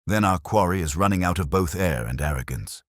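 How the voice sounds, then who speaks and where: A man's voice: low voice, animated, a little fast, no room sound, clean studio sound, voice pushed up. A man voicing a shrewd detective in a mystery audiobook, speaking in a clipped, precise and commanding manner. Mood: cool, deliberate.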